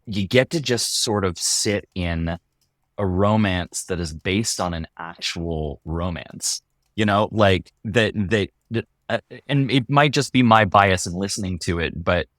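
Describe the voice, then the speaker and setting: A man's voice: low voice, a bit bright, no room sound, clean studio sound. A man chatting casually in an interview, speaking in an effusive, earnest way. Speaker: audio drama cast member being interviewed.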